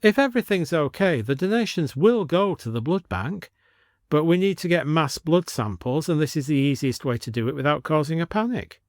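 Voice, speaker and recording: A man acts an earnest male village doctor in an audio story. He sounds confident and persuasive, talking conversationally in a slightly raised pitch, recorded close and dry. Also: animated, normal speed, dry recording.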